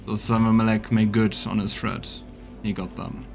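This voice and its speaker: A man's voice: fairly low pitch, level tone, normal speed, gravelly. A male let's play host narrates and comments on a game as he goes. His talk is casual and unscripted between read lines, with music underneath.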